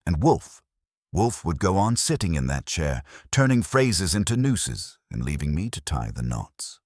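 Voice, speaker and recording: A man reading a scripted first-person detective story in a measured, wry manner. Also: deep voice, sing-song, dry recording, studio quality.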